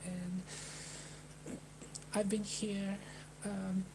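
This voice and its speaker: Halting Brazilian woman, flat delivery. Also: medium pitch, background noise. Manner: hesitant, halting, with many restarts and sighs. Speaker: livestreamer. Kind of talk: personal talk to a live chat. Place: Melbourne, indoors.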